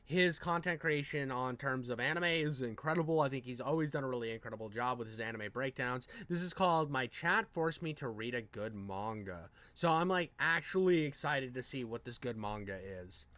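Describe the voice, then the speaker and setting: Bright-voiced, excitable man reacting in slang. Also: medium pitch, fairly quick, few pauses, no room sound. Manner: slangy, animated, repeats himself. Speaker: reactor commenting on a video. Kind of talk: casual, excitable reaction commentary.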